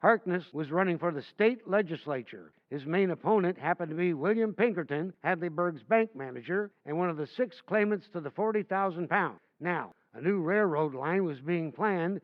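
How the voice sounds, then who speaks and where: A man's voice: sits high, natural voice. A man playing a wry old storyteller, tinny sound. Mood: steady. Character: older male author narrating his tale. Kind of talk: old-time radio play narration.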